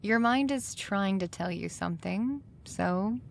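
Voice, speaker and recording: A woman playing a supportive, encouraging mentor who talks in an unhurried way in an audio drama. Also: mid-range voice, animated, creaky, a little hiss or hum. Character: supportive, encouraging female mentor to a young artist.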